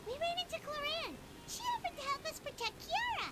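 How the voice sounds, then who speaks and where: A tiny floating fairy girl with a squeaky, chirpy voice that leaps all over the place. She sounds very high and excitable. The game audio sits under loud stream noise. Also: fairly quick.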